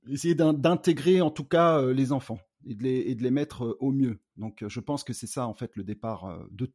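A man from the Paris suburbs talking casually as an interview guest, in a colloquial, halting way. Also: medium pitch, a little mellow, husky. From Paris suburbs, France. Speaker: guest, rap artist.

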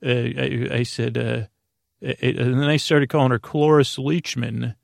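A man with a creaky, gravelly voice hosts a bedtime podcast, recorded close and dry. He meanders through his monologue in halting phrases, stumbling over words and breaking off to correct himself. The delivery is droning and low-stakes. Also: flat delivery, dry recording. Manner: halting, meandering, self-interrupting, often stammers over words. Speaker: podcast host.

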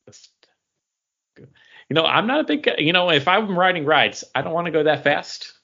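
A man's voice: on the high side, big pitch swings, moderate pace, vocal fry, sharp tone, dull audio. A middle-aged man co-hosting a podcast, rambling enthusiastically as a fan in casual conversation. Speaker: podcast co-host.

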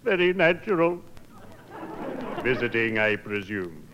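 A noisy old radio broadcast with an audience behind it carries a gloomy undertaker. He speaks very slowly and solemnly, with courtly, morbid politeness and big swoops in pitch. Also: sing-song, lo-fi sound, a crowd in the background. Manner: formal, slow, solemn delivery. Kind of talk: 1950s radio comedy acting.

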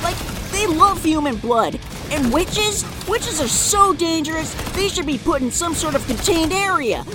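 A woman's voice: very high voice, lively intonation, measured pace, over music. A woman playing a zealous, bigoted young man in an audio drama, insistent and earnest. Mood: urgent, zealous.

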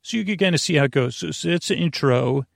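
Gravelly, creaky man rambling for bedtime. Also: a little high, lively intonation, dry recording, clean studio sound. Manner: meandering, halting, self-interrupting tangents; whimsical, self-deprecating humour. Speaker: podcast host. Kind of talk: rambling bedtime sleep podcast monologue.

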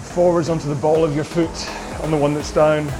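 A man coaching a rowing workout, calling out cues in an upbeat, jokey way, his voice slightly hoarse. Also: on the high side, flat delivery, a little fast. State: a bit hoarse. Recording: music playing behind. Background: workout music.

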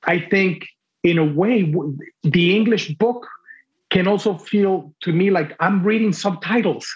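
A man whose first language is Spanish talks in a slightly higher-than-average register, weighing each phrase and often circling back to restart a thought. He sounds like a guest on a podcast conversation. The audio is dull and lo-fi, like a remote call. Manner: deliberate, with frequent restarts. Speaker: translator guest.

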